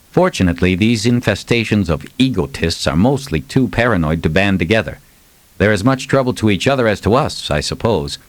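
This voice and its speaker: An audiobook reader voicing an indignant small-town mayor in a formal, scornful letter. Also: male voice, on the low side, dry recording, close to an everyday voice. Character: exasperated, indignant small-town mayor writing a plea for help.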